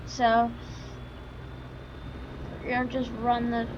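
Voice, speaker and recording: A woman doing casual, halting roleplay commentary over a farming game, excitable and given to exclaiming. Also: fairly high pitch, lo-fi sound. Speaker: gaming video creator. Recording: noisy, with vehicle engine sounds.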